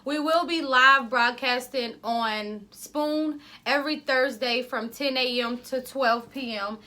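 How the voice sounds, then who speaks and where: Woman podcast co-host, loud, chatty, rambling, pitched a bit high. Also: takes it slowly. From Ohio, US. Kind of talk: casual podcast chat.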